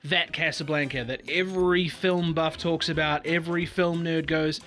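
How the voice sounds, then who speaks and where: A man's voice: fairly high pitch, fairly even intonation, normal speed, fairly bright tone, faint music behind. A man giving a sarcastic, profane comedic history commentary as a video host.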